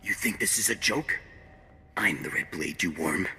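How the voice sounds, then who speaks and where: Brief: raspy deep-voiced killer, sneering threats, harsh edge, long reverb. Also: male voice, moderate pace, pushed and bright, exaggerated voice. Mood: menacing. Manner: threatening, contemptuous. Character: menacing scarred male bounty hunter, volatile. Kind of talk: video game cut-scene acting.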